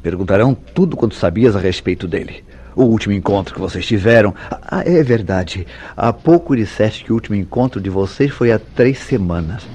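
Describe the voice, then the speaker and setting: Man acting low-voiced, suspicious radio-drama husband. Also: lively intonation, a little mellow, a little hiss or hum, lightly acted. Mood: coolly insistent. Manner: formal, measured, interrogating diction. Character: suspicious, probing husband, coolly insistent. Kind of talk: old-fashioned radio play acting.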